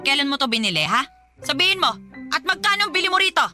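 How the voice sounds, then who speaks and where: A woman's voice: high-pitched, very animated, quick pace, soft background music, heavily acted. An actress playing a domineering, nagging mother with a Filipino accent who scolds her grown daughter in a radio drama. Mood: angry interrogating. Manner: sharp, scolding, interrogating.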